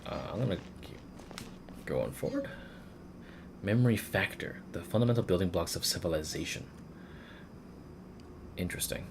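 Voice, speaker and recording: A man's voice: deep voice, very animated, moderate pace, gravelly, long pauses, noisy background. A man livestreaming a game, casually commenting and reading on-screen text aloud with offhand asides, over game music. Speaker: game streamer.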